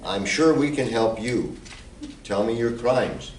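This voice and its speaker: A man's voice: medium pitch, animated, takes it slowly. A man playing an amiable detective in an amateur old-time radio play. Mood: confident. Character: amiable husband-and-wife club detective, man. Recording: roomy, distant mic, faint noise.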